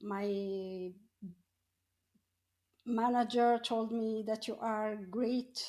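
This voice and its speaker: A middle-aged woman tells her personal story in an interview, often halting and searching for words. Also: on the high side, flat delivery, mellow. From Morocco. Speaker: podcast guest, coach.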